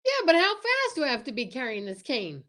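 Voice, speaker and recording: Female performer as male radio comedy sidekick: cowardly, stammering blusterer, high and very animated. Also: fairly quick, heavily acted. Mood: nervous bluster. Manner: blustering, stammering, stalling delivery. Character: cowardly, stalling male comic sidekick Costello. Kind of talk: old-time radio comedy sketch.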